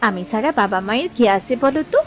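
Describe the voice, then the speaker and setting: A woman playing a gentle, placating young married daughter in a Bengali cartoon, soothing and pleading, over music. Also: fairly high pitch, expressive, fast, lightly acted. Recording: background music under the voice.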